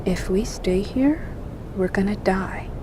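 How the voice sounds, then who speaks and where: An actress playing a steady female sergeant in a radio drama, speaking calmly and often hushed. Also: medium pitch, noisy background, lightly acted. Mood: grim, hushed. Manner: calm, hushed, measured.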